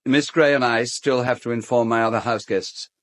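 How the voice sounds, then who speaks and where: An actor playing a fussy, courteous middle-aged English gentleman in a radio drama, speaking formally and hesitantly. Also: medium pitch, steady tone, normal speed, lightly acted. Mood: flustered announcing. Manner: hesitant, formal, well-spoken. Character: fussy, courteous gentleman host of an island castle. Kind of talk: British radio drama acting.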